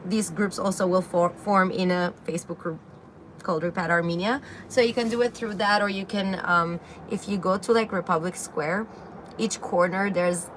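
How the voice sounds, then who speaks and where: A young woman from Syria hosting a casual livestream Q&A, chatting loosely and reading viewer comments aloud, filling pauses with frequent ums and likes. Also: medium pitch, a bit bright, a little hiss or hum. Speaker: livestream host. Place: outdoor balcony with greenery. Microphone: phone camera at arm's length.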